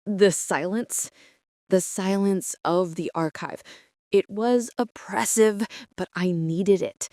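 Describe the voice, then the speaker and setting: A woman playing a traumatized, meticulous archivist, narrating a psychological horror drama in a brooding, deliberate way. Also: medium pitch, dark tone, no room sound, clean studio sound, close to an everyday voice. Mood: guarded, bitter. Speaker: narrator and lead character of an audio drama.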